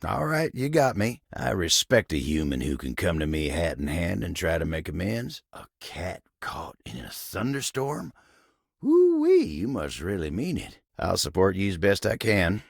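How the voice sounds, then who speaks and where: A man voicing a humble, hardworking living table in a video game, with a gravelly, husky Southern American drawl and a folksy manner. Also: low-pitched, huge pitch swings, moderate pace, no room sound, clean studio sound, full character voice. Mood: forgiving, warm. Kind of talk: video game character voice acting.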